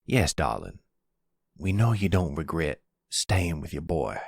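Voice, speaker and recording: A man playing a remorseful, tender older grandfather, measured and soothing, in an ASMR roleplay audio drama. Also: a bass voice, sing-song, vocal fry, slightly rough, no echo, studio quality, heavily acted.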